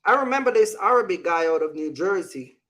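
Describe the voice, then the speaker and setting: A man's voice: high voice, lively intonation, fairly quick, a bit bright. A man talking casually as a vlog host, giving business advice and personal stories in an emphatic, repetitive way, often checking in with the listener.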